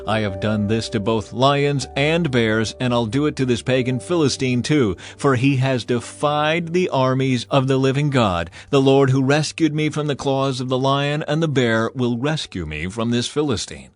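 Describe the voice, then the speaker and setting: A man's voice: mid-range voice, moderate pace, few pauses, soft background music, lightly acted. A man voicing a bold, earnest young shepherd who speaks with confidence. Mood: confident.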